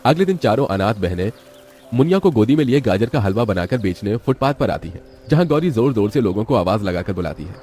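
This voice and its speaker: A man's voice: on the low side, very animated, fairly quick, a little mellow, husky. A man narrating a scripted children's moral story in a dramatic way.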